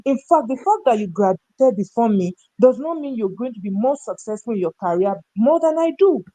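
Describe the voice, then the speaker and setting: A woman's voice: a little high, lively intonation, a little fast, dark tone, low-quality recording. Nigerian woman telling stories emphatically. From North Central Nigeria. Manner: emphatic, rhetorical questions. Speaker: human resources professional, talk show guest. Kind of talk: conversational storytelling and opinion in a discussion.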